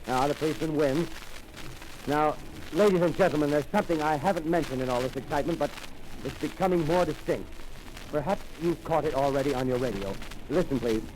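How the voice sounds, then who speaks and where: An actor playing a radio commentator at a crash site in a 1930s radio drama, describing the scene live in an eager, halting way with many fillers, heard in a noisy old broadcast recording. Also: male voice, on the high side, darkish tone, close to an everyday voice. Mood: eager, breathless. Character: excited male radio field reporter at a crash site.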